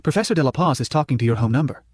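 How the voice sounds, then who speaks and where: A man voicing a calm, polite male computer that talks in a formal, precise way. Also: medium pitch, racing, husky.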